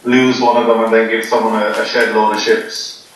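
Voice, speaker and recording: A man being interviewed before a poker game, joking in a deadpan, rambling way with many ums. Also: lowish voice, moderate pace. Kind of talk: joking casual chat. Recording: echoey room, voice from far off, faint noise.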